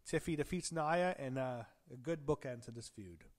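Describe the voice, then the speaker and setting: A man fighting off a cold gives a loose, rambling recap in a voice that sounds a little off. He corrects himself often and fills gaps with "uh". He stops now and then to gasp or clear his throat. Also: mid-range voice, normal speed. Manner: rambling, frequent self-corrections and fillers; gasping and clearing throat at times. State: under the weather, voice a bit off. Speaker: wrestling show reviewer. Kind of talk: casual show recap.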